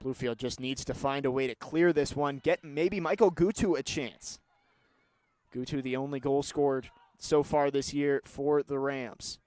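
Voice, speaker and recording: A young man calling live sports play-by-play, moving between measured description and excited calls. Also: medium pitch, dry recording. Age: young adult.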